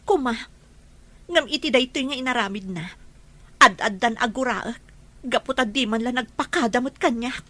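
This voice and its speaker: A woman's voice: on the high side, moderate pace, long pauses, bright, forceful tone, no echo. A woman acting as a hurt, resentful but loyal young friend in an audio drama. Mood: hurt, indignant. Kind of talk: Ilocano radio-style drama acting.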